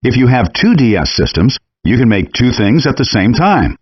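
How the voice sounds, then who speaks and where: A man voicing a friendly, encouraging virtual chef in a cooking game, giving clearly worded scripted instructions through dull, compressed game audio. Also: mid-range voice, very animated, normal speed, no echo. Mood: patient, instructive.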